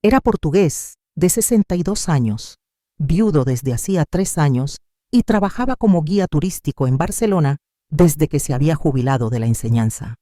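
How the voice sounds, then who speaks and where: Very dark-toned, dry-sounding Spanish-language narrator. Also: mid-range voice, animated, normal speed, no echo. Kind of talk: scripted first-person story narration.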